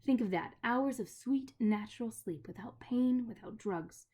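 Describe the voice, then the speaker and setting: A woman's voice: fairly high pitch, measured pace, breathy edge. A woman doing a proud menacing witch. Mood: tempting. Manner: commanding, then hushed and coaxing. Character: proud menacing witch queen, cold and tempting.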